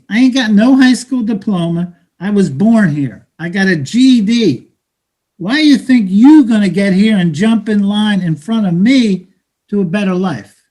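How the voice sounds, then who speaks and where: An actor in a stage play reading, playing a cynical, weary low-wage worker with an emphatic, slangy, preachy delivery. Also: male voice, lively intonation, measured pace, a little mellow. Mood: bitter, frustrated.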